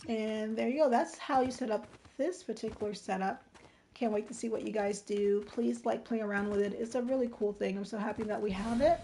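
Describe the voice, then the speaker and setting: Slightly hoarse, mellow, higher-pitched woman. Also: fairly even intonation, a little fast, a little hiss or hum. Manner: informal, step-by-step explaining, checking in with "right?" and "okay?". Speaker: livestream tutorial host. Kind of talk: casual game-design tutorial.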